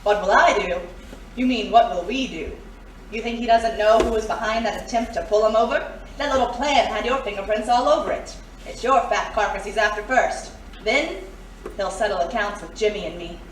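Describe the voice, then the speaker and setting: Woman playing hard-boiled underworld dame, sardonic, controlled menace, middle pitch, audio drama, roomy distant sound. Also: moderate pace, close to an everyday voice. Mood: hard, resolute. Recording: roomy, distant, faint noise.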